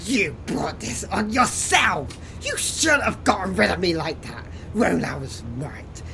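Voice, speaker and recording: A woman's voice: on the low side, very animated, a little slow, vocal fry, a crowd in the background, full character voice. A woman voicing a resentful young male traitor in a fan audio drama. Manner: defensive and resentful.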